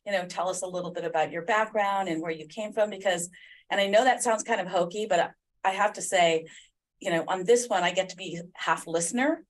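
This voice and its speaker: Low-voiced woman hosting a podcast. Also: brisk. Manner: rambling with many fillers. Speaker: podcast host. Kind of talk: conversational interview.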